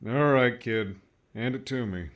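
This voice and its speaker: A man voicing a gruff, grumbling older maintenance man in an audiobook, with a wry, folksy manner. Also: medium pitch, unhurried, pitched above the performer's own voice, close to an everyday voice.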